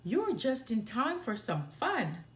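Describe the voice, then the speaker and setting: A woman's voice: fairly high pitch, very animated, slow pace, roomy sound. A woman voicing a big young male bear, a bossy, taunting gang leader in a children's storybook. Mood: gleeful.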